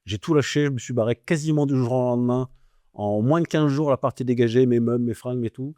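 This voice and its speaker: A man from northern France with a ch'ti accent, a guest telling his travel stories in an animated, slangy way with many restarts. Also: mid-range voice, quick pace, no room sound. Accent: northern French (ch'ti). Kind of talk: casual interview conversation.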